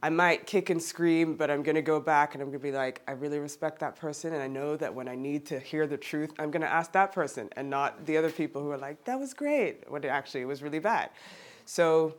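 Self-correcting man, slightly higher voice. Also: brisk, hardly any pauses. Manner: searching, circling back, self-correcting. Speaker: discussion participant. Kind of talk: reflective group discussion.

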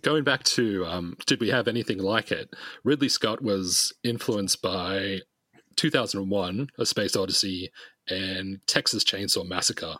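A man in his late thirties with a slightly low, husky voice, talking casually as a podcast guest. The sound is close and dry. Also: unhurried, bright, forceful tone, no echo. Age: late 30s. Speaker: podcast guest, comic creator. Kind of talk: casual conversation.

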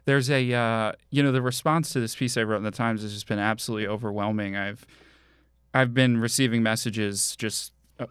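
A man's voice: lowish voice, steady tone, brisk, fairly bright tone, clean tone, dry recording, clean studio sound. A young adult American man from suburban Philadelphia, talking casually as an interview guest. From suburban Philadelphia, United States.

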